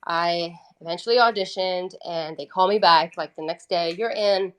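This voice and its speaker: Low-pitched woman, chatting casually. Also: moderate pace, a bit bright, clean tone, poor audio. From Italy. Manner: informal, frequent "you know" and "like", thinking aloud. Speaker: guest, independent singer-songwriter. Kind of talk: casual conversational interview storytelling.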